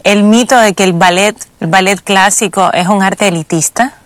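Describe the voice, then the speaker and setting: A woman's voice: mid-range voice, moderate pace, no room sound. Formal woman interviewer, long questions. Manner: polite, formal address, long layered questions.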